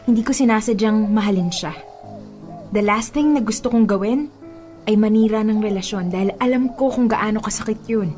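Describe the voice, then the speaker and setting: An actress plays a young Filipino-accented woman, a steadfast new girlfriend. Her delivery is earnest and measured, in radio drama style, over a music bed. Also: on the high side, a little mellow, lightly acted. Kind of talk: radio drama acting in mixed Tagalog and English.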